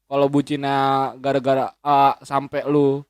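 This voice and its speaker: A man's voice: medium pitch, monotone, moderate pace, darkish tone, clean tone, no room sound, studio quality. A man, chatting as a guest in a rambling, slangy way with lots of swearing and restarts. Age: 20s. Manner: rambling, frequent swearing and self-correcting hesitations. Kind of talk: casual chat.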